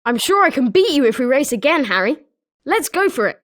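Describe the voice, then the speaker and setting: An eager British schoolboy wizard voiced by a woman for a video game, pitched high. The delivery is chirpy and emphatic, swinging widely in melody, with a friendly competitive edge. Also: very animated, fairly quick. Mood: eager, challenging. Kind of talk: scripted video game character lines.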